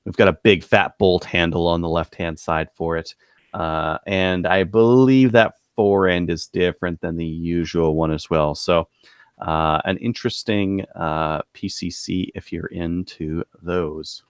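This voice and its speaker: Low-voiced man, casual podcast host. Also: clean tone, dry recording, studio quality. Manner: halting, thinking aloud. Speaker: podcast co-host presenting gun deals. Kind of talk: casual podcast product rundown.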